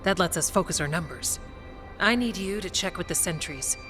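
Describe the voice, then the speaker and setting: Woman acting steady female warden commander, video game, lowish pitch, plain-spoken, matter-of-fact, faint music behind. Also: normal speed, lightly acted. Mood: commanding.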